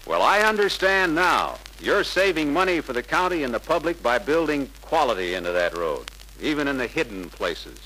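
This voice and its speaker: Young male salesman: polite, persuasive, forceful bright voice, faint noise of an old 1950s film soundtrack. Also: medium pitch, very animated, normal speed, few pauses, rough recording. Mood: earnest. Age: young adult. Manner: deferential, persuasive, earnest. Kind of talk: 1950s sales pitch acting.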